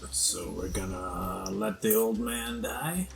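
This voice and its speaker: A man's voice: fairly low pitch, slow pace, vocal fry. A man casually roleplaying in a tabletop game, with an anxious, hesitant way of talking. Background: background music.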